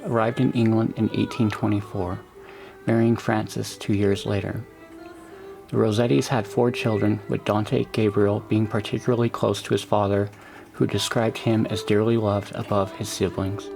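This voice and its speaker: Gravelly low male documentary narrator. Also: little pitch movement, moderate pace, low-quality recording. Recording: voice-over with music behind.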